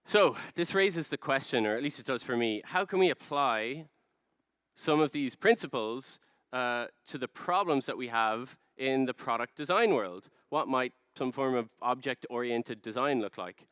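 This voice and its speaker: An Irish man in his thirties giving a rehearsed conference presentation, explaining things in a measured, storytelling way with emphasis. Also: medium pitch, lively intonation, fairly bright tone, clear, resonant tone, dry recording, clean studio sound. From Ireland. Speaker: conference speaker, product design director. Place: large dark stage, indoors.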